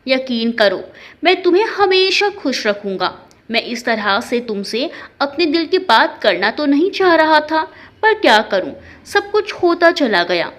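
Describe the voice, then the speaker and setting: A woman's voice: sits high, sing-song, unhurried, a bit bright, full character voice. A woman voicing an earnest, devoted young man in love in a story, with a sincere, pleading manner. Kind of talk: storybook dialogue.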